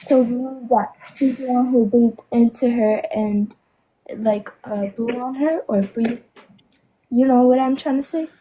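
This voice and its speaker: A woman's voice: fairly high pitch, unhurried, mellow, slight background noise, poor audio. A seventeen-year-old girl who is sick, joining a debate as a guest and answering hesitantly with many fillers. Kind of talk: casual debate conversation.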